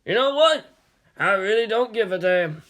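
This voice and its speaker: A narrator voicing a gruff, blunt, teasing old man who runs a manga shop in an audiobook story. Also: medium pitch, expressive, moderate pace, a bit bright, pitched above the performer's own voice, close to an everyday voice. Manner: blunt, grumbling.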